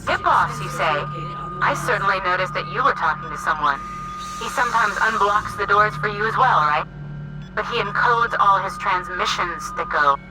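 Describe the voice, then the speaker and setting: A woman's voice: medium pitch, lively intonation, moderate pace, over music, natural voice, rough recording. A woman playing a shrewd, scornful colonist in a sci-fi video game, with a pointed, sardonic delivery. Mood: suspicious, scornful. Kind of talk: video game cutscene acting.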